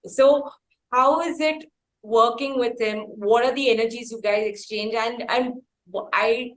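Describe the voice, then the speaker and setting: A woman's voice: a little high, fairly even intonation, unhurried, low-quality recording. A woman with an Indian accent hosting a conversational interview, speaking in an effusive, wordy way. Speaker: interviewer, host.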